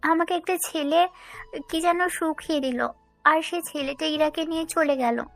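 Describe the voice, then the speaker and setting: A woman plays a caring young woman in a romantic audio drama. Her voice is high, with faint music behind it, and she speaks like a loyal schemer. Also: moderate pace, a bit bright. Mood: confused, upset.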